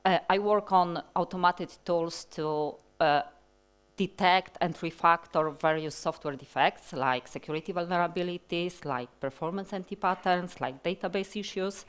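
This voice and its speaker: A woman in her forties with a Polish accent, giving a technical conference talk from a large hall stage, speaking slowly and deliberately with many fillers and restarts. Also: fairly low pitch, clear, resonant tone.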